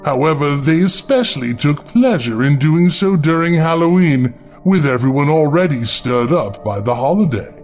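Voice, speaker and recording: A man's voice: fairly high pitch, expressive, moderate pace, hardly any pauses. A man narrating a scripted horror story in a dramatic storytelling style. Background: background music.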